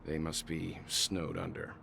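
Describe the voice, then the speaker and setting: An actor playing a calm, analytical older mentor in a video game, speaking gravely and deliberately. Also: male voice, rumbling low, slight background noise, exaggerated voice. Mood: grave, steady. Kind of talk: scripted video game dialogue.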